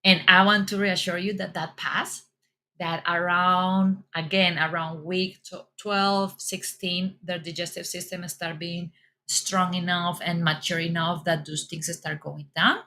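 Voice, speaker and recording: A woman's voice: lowish voice, flat delivery, unhurried, pushed and bright. A middle-aged woman with a Colombian accent giving parents reassuring advice and repeating her words often. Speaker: mom fitness coach. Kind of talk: casual advice talk.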